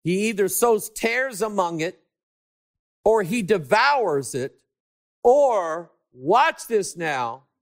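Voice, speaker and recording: High-voiced, emphatic male preacher. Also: animated, takes it slowly. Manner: emphatic, swings between shouting and hushed asides; stretches key words for emphasis. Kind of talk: sermon.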